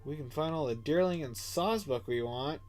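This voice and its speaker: A man's voice: on the high side, normal speed, a little mellow, faint music behind. A young man with a stuffed-up nose, chatting casually and rambling while he streams a game. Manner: chatty, rambling, filler-heavy. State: stuffed up, congested. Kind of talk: casual live-stream commentary.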